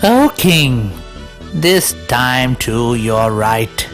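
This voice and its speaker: A man's voice: mid-range voice, expressive, very slow, soft background music. A man voicing a sly, taunting ghost in a children's cartoon, with theatrical, goading delivery. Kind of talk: children's animated story dialogue.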